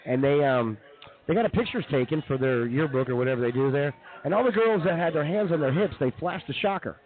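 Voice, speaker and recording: A man hosting a live comedy show, running through news stories in a casual, halting, chatty way. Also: fairly high pitch, expressive, phone-quality sound. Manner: halting, informal, chatty delivery.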